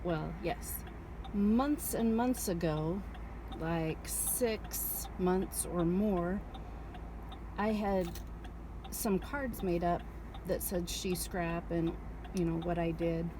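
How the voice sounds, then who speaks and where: A woman's voice: fairly low pitch, drawn-out words, noisy background. A middle-aged woman chatting casually in a home vlog, telling rambling stories with lots of fillers. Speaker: vlogger reselling scrap. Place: at home, garage and car.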